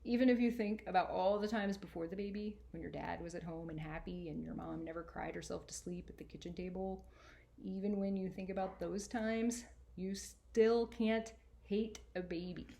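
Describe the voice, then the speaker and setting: A woman's voice: on the low side, fairly even intonation, moderate pace, creaky, mellow. Woman reading as an exasperated, earnest boy narrator. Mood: rueful. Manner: colloquial, exasperated, emphatic. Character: sarcastic, earnest boy narrator with a baby brother. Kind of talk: expressive first-person storybook reading.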